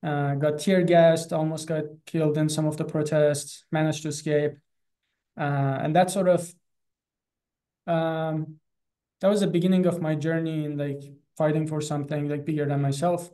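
A man in his thirties with an Iranian accent, giving an earnest, halting personal account as an interview guest on a video call. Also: fairly high pitch, little pitch movement, mellow. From Iran. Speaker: animal rights activist and guest. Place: small classroom in front of a chalkboard. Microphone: video call, close to computer.

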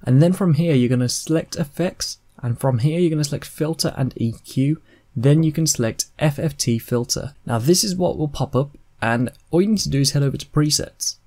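A man in his twenties narrating a software tutorial in an informal, step-by-step way. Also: a little high, a little fast. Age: 20s. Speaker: tutorial host.

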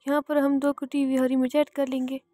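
A woman's voice: sits high, little pitch movement, fairly quick, dark tone, no room sound, studio quality. A woman hosting a cooking channel, narrating a recipe step by step in a plain, repetitive instructional voice-over.

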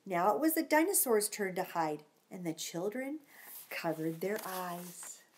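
A woman's voice: fairly low pitch, animated, measured pace, a little hiss or hum. A middle-aged woman reading a storybook aloud to young children, with chatty, encouraging asides and simple questions. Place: small home room, indoors.

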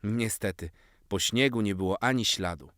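A man narrating a scripted children's story in an expressive storytelling style. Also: lowish voice, normal speed, dry recording, studio quality.

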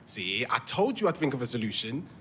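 A man's voice: mid-range voice, big pitch swings, a little fast, a bit bright, a little hiss or hum. An actor playing a young man in a naturalistic audio drama, matey and colloquial, prickly with his mum. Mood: upbeat, matey. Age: young adult.

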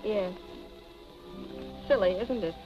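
An actress playing a cool, sardonic young wealthy wife, in dry, arch 1940s Hollywood film style. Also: female voice, medium pitch, slow pace, lo-fi sound, close to an everyday voice. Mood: archly teasing. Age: young adult. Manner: arch, dry, clipped irony. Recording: old film soundtrack, music behind.

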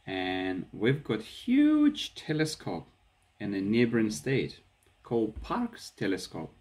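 A man with a Ukrainian accent talks straight to his phone camera from a small room at home, his hands moving as he goes. His voice sits a little on the low side. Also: slow. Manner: animated, gestures with his hands while talking. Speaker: vlogger. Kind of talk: casual talk to camera. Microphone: phone camera at arm's length.

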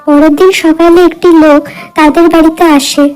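A woman's voice: very high-pitched, level tone, normal speed, a little mellow, soft background music. A woman narrating a scripted fairytale cartoon as the storyteller.

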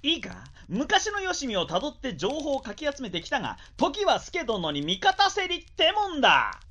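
Young ex-bandit samurai, cocky, glib, theatrical, very lively intonation, radio drama, music behind. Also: male voice, extremely high pitch, moderate pace, a little hiss or hum, exaggerated voice. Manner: eloquent, rough-tongued, theatrical. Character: boastful, glib 25-year-old ex-bandit samurai.

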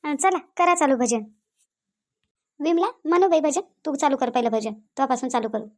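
Casting: woman playing a fussy older village hostess, high voice, Vidarbha Marathi accent, cartoon. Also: moderate pace, lightly acted. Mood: welcoming. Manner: fussy, welcoming, hurrying guests along. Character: bustling older village hostess, a mother-in-law. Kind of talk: cartoon voice acting.

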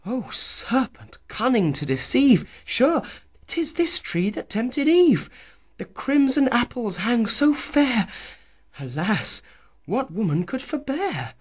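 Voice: medium pitch, big pitch swings, slow pace, husky, pitched above the performer's own voice, exaggerated voice. A reader voicing a swooning young woman in a pastoral love poem, with rapturous, breathless speech and whispered gasps. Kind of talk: dramatic poetry reading.

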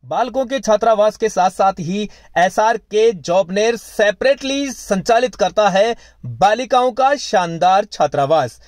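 High, dry-voiced male ad narrator. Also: expressive, a little slow, no room sound. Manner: emphatic, persuasive sales delivery. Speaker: advertisement narrator. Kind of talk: promotional voice-over.

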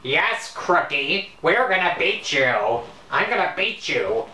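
Someone putting on an eager nerdy guy, boastful, bright voice, roomy echo, homemade story. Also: mid-range voice, sing-song, takes it slowly, far from the mic, pitched above the performer's own voice, full character voice. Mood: defiant. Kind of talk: amateur fan-fiction story reading.

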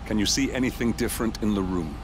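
An actor playing a calm, politely instructive male handler who guides an assassin remotely in a video game. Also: on the low side, very noisy, close to an everyday voice. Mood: calm, guiding. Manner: measured, composed, politely instructive. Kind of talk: video game mission guidance dialogue.

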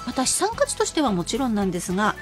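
A woman presenting a live radio sales pitch with emphatic delivery, slowing to spell out key figures. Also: wildly swooping pitch. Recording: music bed under the voice.

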